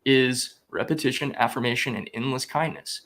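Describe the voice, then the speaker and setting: Man, guest, casual storytelling, animated, quotes dialogue, middle pitch. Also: moderate pace. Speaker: guest, spiritual growth coach.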